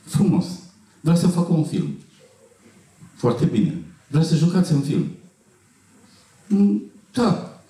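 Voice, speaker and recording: A middle-aged man speaks from a stage with a dark, mellow voice. He tells stories haltingly and clears his throat. The sound is very echoey and distant. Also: fairly high pitch, big pitch swings. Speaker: film actor at festival Q&A. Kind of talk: anecdotal speech to an audience. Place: festival auditorium stage. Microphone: handheld mic, heard from far camera. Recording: echoey hall, distant camera pickup.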